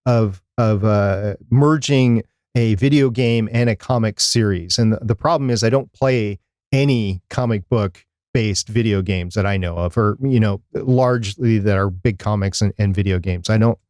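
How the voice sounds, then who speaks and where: A man's voice: on the low side, normal speed, darkish tone, no room sound, clean studio sound. A man co-hosting a podcast in casual, chatty conversation, repeating phrases for emphasis. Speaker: podcast co-host. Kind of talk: casual chat about podcasting.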